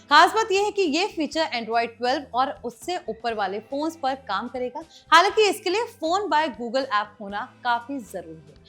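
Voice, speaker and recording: A woman's voice: high voice, a bit bright. A woman with an Indian accent hosting tech news, explaining scripted items in a presenter's manner. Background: background music.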